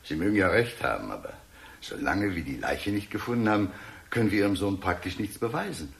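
An actor playing a composed, talkative police chief inspector in a German radio drama, speaking in a measured, wry, placating manner. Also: male voice, low voice, lively intonation, gravelly. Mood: calm, placating.

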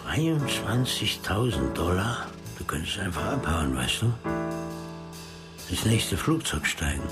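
An actor playing a laid-back, cautious friend in a German radio crime drama, casual and measured. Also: male voice, low voice, big pitch swings, over loud music. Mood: concerned.

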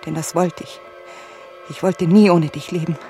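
Low, anguished grown daughter played by a woman. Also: fairly even intonation, normal speed, dark tone, close to an everyday voice. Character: anguished, accusing grown daughter, bitter and defensive. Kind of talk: German radio play acting. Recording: music behind the voice. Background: music.

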